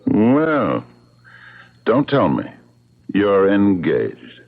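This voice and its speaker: A deep-voiced, menacing villain in an old radio drama, mock-polite and taunting. His gravelly delivery swoops widely through a narrow, phone-like old broadcast sound. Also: huge pitch swings, drawn-out words, heavily acted. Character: menacing, mocking man lording it over his enslaved friend.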